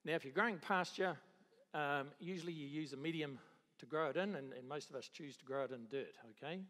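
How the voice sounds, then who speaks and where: A middle-aged man with a New Zealand accent giving a conference talk on a handheld mic, halting, with many restarts and dry understatement. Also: on the high side, a bit bright. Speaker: agricultural economist presenting at a conference. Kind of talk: conference presentation. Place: large conference hall. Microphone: handheld microphone. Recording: reverberant conference hall.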